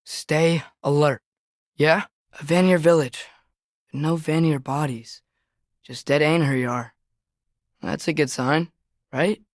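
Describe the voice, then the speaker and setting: A man's voice: on the high side, steady tone, slow pace, pauses often, no echo. Teenage boy adventurer, earnest, questioning, casual wry manner, natural video game delivery, dry studio sound. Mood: alert, dry. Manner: casual, curious, wry asides.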